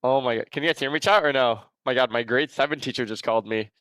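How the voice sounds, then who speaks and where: A man's voice: medium pitch, quick pace, a bit bright, clean tone, no echo, low-quality recording. A man in his twenties reacting casually to a match with his chat, joking and teasing. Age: 20s. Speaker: streamer. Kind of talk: casual watch-party reaction.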